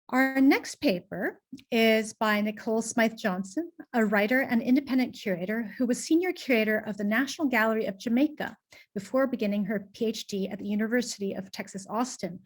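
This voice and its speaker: Hesitant woman chairing a panel. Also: medium pitch. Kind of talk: formal conference introduction.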